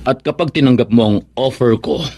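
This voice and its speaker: An actor playing a pushy, persuasive politician with a husky voice in a Filipino radio drama. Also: male voice, mid-range voice, brisk, darkish tone, no echo. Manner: persuasive, insistent. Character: smooth-talking, pushy male politician offering money.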